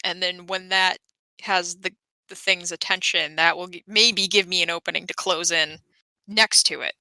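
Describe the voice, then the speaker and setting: A woman's voice: fairly low pitch, steady tone, moderate pace, sharp tone, no room sound, rough recording. A woman playing a tabletop game, casually talking through what her character does.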